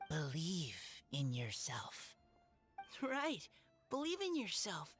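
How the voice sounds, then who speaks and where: Slow-spoken, earnest wild boy. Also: on the high side, wildly swooping pitch, fairly bright tone, faint music behind, exaggerated voice. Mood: encouraging. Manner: halting, clipped broken phrases. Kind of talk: video game character acting.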